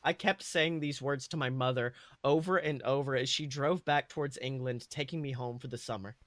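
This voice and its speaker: A man's voice: mid-range voice, fairly even intonation, moderate pace, a bit bright, dry recording, natural voice. A man voicing a proud, earnest schoolboy wizard who tells his own story with emphatic delivery. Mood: subdued. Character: boy Slytherin first-year student, proud, indignant, sorry. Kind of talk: first-person dramatic story reading.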